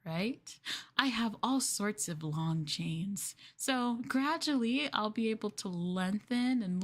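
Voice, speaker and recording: A woman playing an obsessive, sweetly possessive young girlfriend in an intimate ASMR roleplay, cooing and coaxing the listener with soft, breathy asides. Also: mid-range voice.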